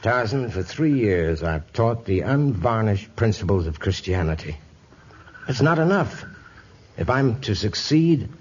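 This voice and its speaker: An actor playing a weary but steadfast missionary reverend in 1950s radio drama, calm and earnest. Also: male voice, fairly low pitch, breathy edge. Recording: muffled old radio recording.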